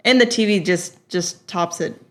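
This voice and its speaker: A woman guest on a horror podcast, telling stories in casual, lively chat. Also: lowish voice, moderate pace.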